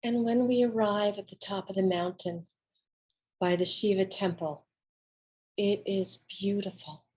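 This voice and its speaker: A woman giving a dramatic reading of an autobiographical monologue, with theatrical, deliberate phrasing and pauses. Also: mid-range voice, steady tone, a little mellow. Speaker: solo stage performer.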